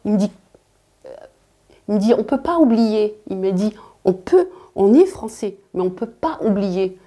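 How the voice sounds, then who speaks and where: A woman's voice: mid-range voice, animated, normal speed, mellow. A woman in a documentary interview, speaking earnestly about her roots. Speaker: interviewee, daughter of immigrants.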